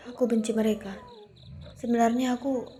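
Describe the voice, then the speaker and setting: A woman voicing a grieving young female vampire in an ASMR roleplay, who talks softly and hesitantly and stammers when flustered. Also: on the high side, flat delivery, a little fast, a little hiss or hum, natural voice. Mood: brooding.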